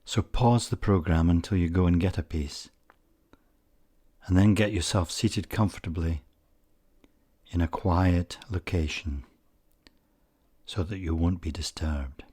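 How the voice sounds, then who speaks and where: A man leading a guided meditation in a calm, measured way with frequent pauses, his husky voice softening at the ends of phrases. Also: low-pitched. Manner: calm, measured, pausing often. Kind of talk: slow guided meditation narration.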